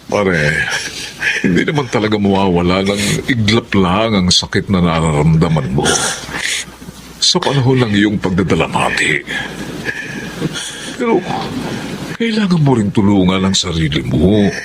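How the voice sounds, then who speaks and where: Casting: earnest, concerned Filipino buddy, emphatic and very animated radio drama delivery, heavy background sound. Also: male voice, low-pitched, measured pace, pauses often, noisy background. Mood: earnest consoling. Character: concerned, earnest male friend, pleading and consoling. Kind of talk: Tagalog radio drama acting. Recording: loud ambient sound effects behind.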